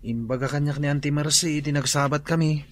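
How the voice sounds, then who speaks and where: A man's voice: fairly high pitch, fairly even intonation, quick pace, no room sound, close to an everyday voice. A young man with an Ilocano accent plays a startled, protesting son in a radio drama. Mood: aggrieved.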